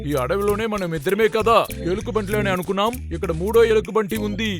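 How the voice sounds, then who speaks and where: High-pitched naive little-brother cartoon bear played by a man. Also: steady tone, fast talker, faint music behind, natural voice. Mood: excited. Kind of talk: dubbed cartoon acting.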